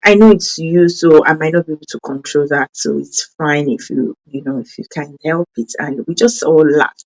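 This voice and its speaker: A woman's voice: moderate pace, darkish tone, dull audio. Earnest woman, Yoruba-speaking. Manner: earnest, self-correcting, with sudden rises in pitch for emphasis. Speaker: parenting channel host.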